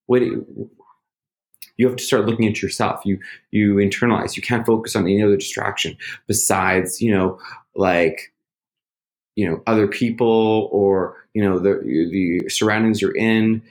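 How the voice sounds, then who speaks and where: A man's voice: fairly low pitch, fairly even intonation, unhurried. A man in casual conversation, talking through his feelings in a rambling, self-searching way with lots of fillers. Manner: loud at times. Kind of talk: casual reflective chat.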